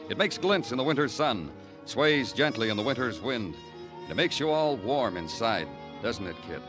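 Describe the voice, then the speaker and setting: An actor playing a brooding New York homicide detective in a 1950 radio crime drama, terse and wryly poetic. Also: male voice, mid-range voice, lively intonation, moderate pace, music in the background, close to an everyday voice. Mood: wry, bitter.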